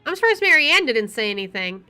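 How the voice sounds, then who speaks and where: A woman's voice: high voice, sing-song, brisk, sharp tone, clean tone. A woman streamer reading a visual novel aloud and adding casual commentary. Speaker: let's play streamer. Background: faint game music.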